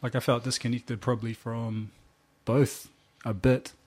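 A man's voice: mid-range voice, a little slow. A young man with a New Zealand accent, a musician guest chatting casually and laughing often as he tells stories. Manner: relaxed, laughs often, slangy storytelling. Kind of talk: casual interview chat.

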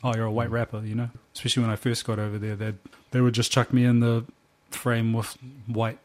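A man's voice: mid-range voice, brisk. Laid-back New Zealand man telling stories. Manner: relaxed, laughs often, slangy storytelling. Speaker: musician guest. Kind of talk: casual interview chat.

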